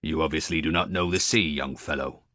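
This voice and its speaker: A man's voice: low voice, normal speed, a bit bright, no echo, clean studio sound. A man voicing a superstitious old male sailor on a harbour beach, stiff and scornful in manner. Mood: gruff.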